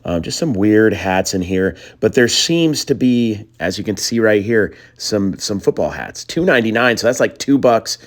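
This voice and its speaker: A man's voice: animated, moderate pace, hardly any pauses. A man narrating a thrift-store reselling vlog as a late-night voiceover, tired and with his voice a little worn, talking casually and addressing viewers as guys. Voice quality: deeper voice than usual. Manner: chatty, trails off and restarts, addresses viewers as guys. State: tired, voice a bit gone.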